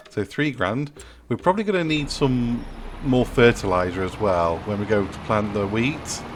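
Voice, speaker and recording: A man's voice: medium pitch, unhurried, noisy background. A man with a cold and a stuffy nose, chatting casually through his farming game with lots of ums as he thinks aloud. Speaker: farming sim video maker. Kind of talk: casual gameplay commentary.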